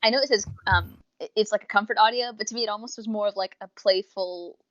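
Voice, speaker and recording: A woman's voice: mid-range voice, quick pace, fairly bright tone, no room sound, lo-fi sound. A woman co-hosting a casual review podcast, chatting in rambling explanations with quoted asides. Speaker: podcast co-host reviewing audios.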